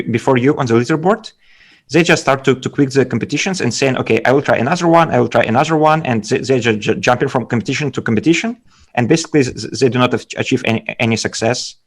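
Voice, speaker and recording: Halting man with a Belarusian accent. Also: medium pitch. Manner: halting, repeats words. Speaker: guest speaker, data scientist. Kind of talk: technical presentation and interview answers.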